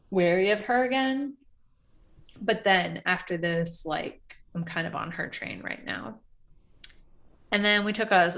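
Chatty young woman, laughing and cursing. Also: on the low side. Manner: animated, laughs often, swears freely. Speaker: podcast co-host. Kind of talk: casual reality TV recap chat.

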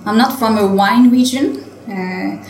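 A woman's voice: a little high, unhurried, darkish tone, reverberant, slight background noise. A French woman in her late twenties, a winemaker being interviewed, speaking English with a French accent and hesitating often with fillers and restarts. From France. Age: late 20s. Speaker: winemaker guest. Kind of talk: interview answers. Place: hotel wine cellar.

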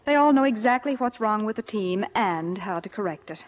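Actress as a soothing wife on muffled radio. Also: female voice, medium pitch, expressive, normal speed, natural voice. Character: soothing, wryly supportive wife of a coach. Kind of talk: 1950s radio acting. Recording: dull old radio broadcast recording.

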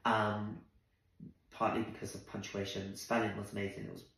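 A man's voice: on the low side, moderate pace, creaky. Man telling stories in an echoey room. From Zimbabwe. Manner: animated, indignant retelling with quoted dialogue. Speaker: guest, drag performer. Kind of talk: casual conversational storytelling.

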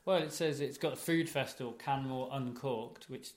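A man's voice: mid-range voice, moderate pace, echoey room. A man from Sweden co-hosting a podcast, teasing his partner with dry, wry remarks. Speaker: podcast co-host. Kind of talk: casual banter.

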